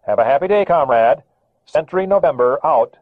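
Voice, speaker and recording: A man's voice: fairly high pitch, lively intonation, normal speed, no room sound, voice pushed up. A male narrator voicing a smug American radar controller who speaks in a falsely polite, needling way over a radio. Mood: mock polite. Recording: tinny radio transmission.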